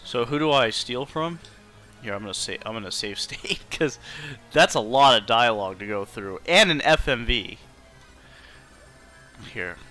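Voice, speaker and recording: A man's voice: medium pitch, animated, normal speed, sharp tone. A man giving casual, informal, sweary commentary as he plays a video game. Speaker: game streamer, player. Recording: faint game music behind.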